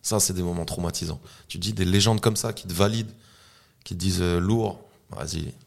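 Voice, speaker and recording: A man's voice: sits low, a little fast, vocal fry. A man, a beatboxer, telling stories in a laid-back, slangy, casual chat. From France. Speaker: beatboxer guest.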